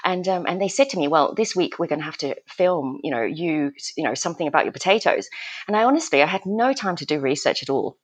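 A woman's voice: low-pitched, animated, quick pace, husky. A woman from Malaysia who grew up in Australia, a guest gardener chatting in a podcast interview, hesitant with many restarts and laughs.

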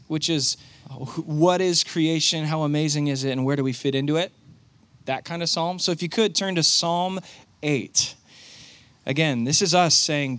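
Easygoing male pastor, slightly high voice, dry close mic, casual intro talk. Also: no echo. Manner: relaxed, conversational, with fillers. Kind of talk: casual church announcements.

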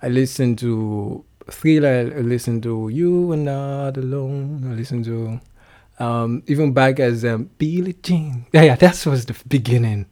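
A man's voice: mid-range voice, warm tone. Young Nigerian-accented man, casual guest, frequent laughs and self-corrections. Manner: laughs often, halting restarts. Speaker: guest musician. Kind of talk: casual interview chat.

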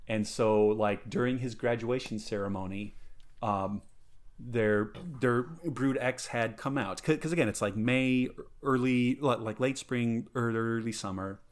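A man co-hosting a podcast in casual chat, excitable and full of restarts as he explains. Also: medium pitch, measured pace. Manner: excitable, many restarts and fillers. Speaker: podcast co-host.

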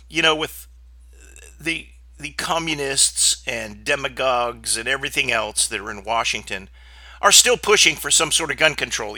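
A man's voice: mid-range voice, bright, forceful tone, no room sound. Stuffed-up, blunt male podcast host. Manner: blunt, opinionated, rambling with fillers. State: stuffed up from sinus trouble. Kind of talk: casual solo podcast talk.

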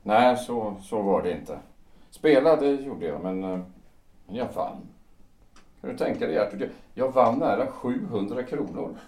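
An actor playing a melancholy, self-pitying writer of fifty in an audio drama, speaking haltingly and broodingly. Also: male voice, mid-range voice, expressive, a little mellow, lightly acted. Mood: confiding, uneasy. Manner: halting, brooding delivery with repeated words. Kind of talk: stage-play audio drama acting. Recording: roomy, echoing sound.

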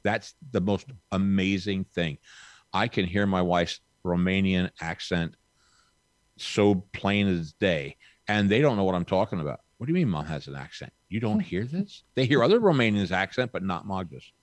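Man, sixties, podcast guest, rambling folksy chat, lowish voice, dry sound. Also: lively intonation, moderate pace, no echo. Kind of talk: casual chat.

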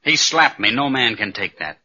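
An actor playing a cold-blooded, cocky gunslinger villain in an old radio western, with a taunting, folksy way of talking. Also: male voice, medium pitch, animated, normal speed, pushed and bright. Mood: defiant. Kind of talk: 1950s radio western acting. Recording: dull old radio broadcast recording.

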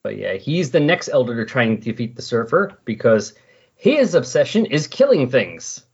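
A man's voice: medium pitch, big pitch swings, moderate pace, slightly rough. A man hosting a casual comic-book discussion, explaining things in a conversational way. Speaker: podcast host. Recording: dull, lo-fi voice recording.

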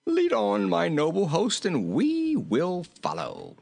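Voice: mid-range voice, huge pitch swings, slow pace, gravelly, darkish tone, breathy edge, pitched above the performer's own voice, full character voice. A narrator voicing a jolly, fat little king from a storybook, chuckling, exclaiming and repeating himself merrily. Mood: merry, amused.

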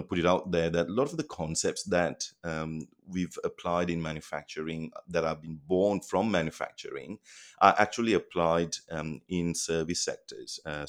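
A man from Sri Lanka in his forties, a guest chatting over the phone with many pauses and ums. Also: low voice. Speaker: guest, productivity consultant. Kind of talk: casual phone interview.

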